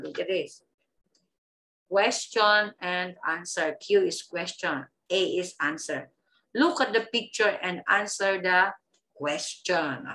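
Slow, bright-voiced Thai woman teacher. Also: fairly low pitch. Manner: slow, drilling repetition of phrases. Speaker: English teacher. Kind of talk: online English lesson for children. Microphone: laptop webcam video call.

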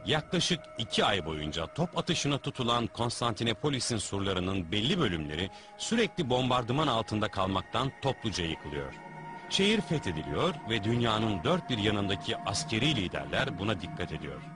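A man's voice: on the low side, sing-song, normal speed. A man reading scripted documentary narration. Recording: music bed under narration.

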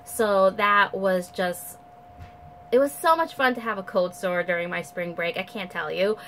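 A woman's voice: mid-range voice, unhurried, a bit bright, a little hiss or hum. Chatty nasal young woman vlogging. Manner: chatty, rambling with many fillers and restarts. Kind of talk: casual chat to camera. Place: bedroom at home, indoors. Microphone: camera at close range.

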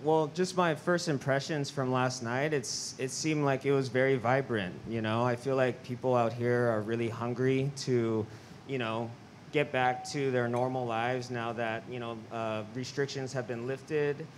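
A man's voice: mid-range voice, fairly even intonation, a little slow, a little hiss or hum. Sincere man from Los Angeles. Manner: sincere, thoughtful. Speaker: artist, panelist. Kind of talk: panel talk. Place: large gallery, indoors. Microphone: handheld mic.

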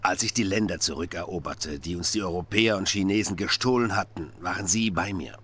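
An actor playing a menacing, middle-aged Soviet dictator in a game cutscene, commanding and theatrical, shifting between hush and shouting. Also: male voice, deep voice, fairly quick, breathy edge. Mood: confiding, menacing. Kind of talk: dramatic game cutscene acting.

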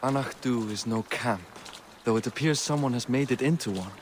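An actor playing a grave medieval lord and loyal ally in a video game, speaking in a formal, somber way. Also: male voice, mid-range voice, normal speed, noisy background, close to an everyday voice. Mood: grim, subdued.

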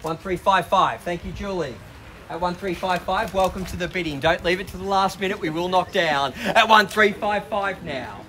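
High-voiced Australian auctioneer calling bids outdoors. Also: male voice, sharp tone, slight background noise. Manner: brisk, persuasive, pushing bidders to act. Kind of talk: live property auction calling. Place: outdoors on a suburban front driveway.

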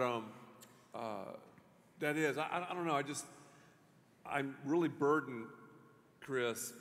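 A middle-aged man, a pastor, interviewing a guest on a church stage in an animated way, with many restarts. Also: medium pitch. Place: large church stage before an audience. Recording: long hall reverb.

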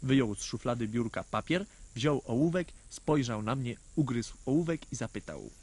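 A man's voice: medium pitch, sing-song, normal speed, a little mellow, breathy edge, dry recording. A man playing a naive, earnest little boy who tells his own story in a radio play. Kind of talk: scripted first-person storytelling in a radio play.

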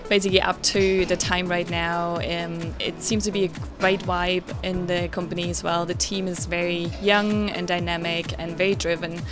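A woman in her thirties, an international business professor, speaking fluently into a handheld mic in an interview. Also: fairly low pitch. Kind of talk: on-camera interview comments. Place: indoor room at a rooftop venue. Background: background music.

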